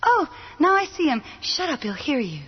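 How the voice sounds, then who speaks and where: Earnest young sister for horror radio drama: high, very expressive voice, anxious, muffled recording. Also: female voice, normal speed. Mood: uneasy. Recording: dull radio drama mix, loud background noise.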